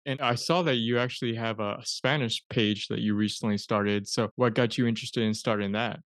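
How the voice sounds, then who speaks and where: Male podcast host, smooth resonant voice, admiring, casual, dry sound. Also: on the low side, fairly even intonation, brisk, fairly bright tone, dry recording. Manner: friendly, admiring questions. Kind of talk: casual interview questions.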